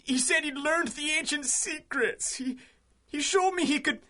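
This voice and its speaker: Cornered radio-play hoodlum. High-pitched, strained, forceful; defiant bluster over craven nerves. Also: male voice, unhurried, full character voice. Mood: cowed, confessing. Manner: blustering, pleading, stammering. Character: cornered male small-time crook, defiant, panicky, craven. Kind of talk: 1930s-style radio drama acting.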